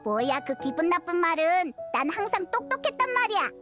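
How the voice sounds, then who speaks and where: Little game fairy sidekick, extremely high childlike pitch, lively swoops, expressive, cheeky. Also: female voice, brisk. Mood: indignant. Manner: exclamatory, expressive. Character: tiny chatty childlike fairy companion, cheeky and indignant. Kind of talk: video game voice acting. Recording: loud music over the voice.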